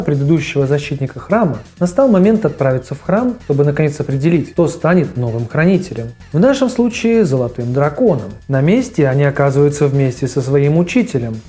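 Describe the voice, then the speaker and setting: A man's voice: a little high, mellow. A man giving scripted video narration as host, mixed with his own personal commentary on old cartoons.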